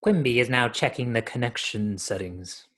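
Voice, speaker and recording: A man's voice: medium pitch, normal speed, a bit bright. A man in his thirties reading scripted stage directions as the narrator of a radio play, over a video call from a room at home.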